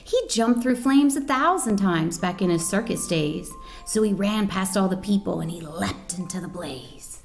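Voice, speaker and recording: A woman reading a picture book aloud to children as a storyteller, with an expressive, dramatic manner. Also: mid-range voice, normal speed, creaky, few pauses, soft background music. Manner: expressive, dramatic reading with questions to young listeners. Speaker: volunteer storyteller.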